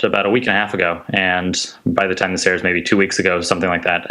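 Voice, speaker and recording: Deep, bright-voiced man. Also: low-quality recording. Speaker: interview guest, software maker. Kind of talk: casual explanatory interview talk. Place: small indoor room on a video call. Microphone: close large desk microphone.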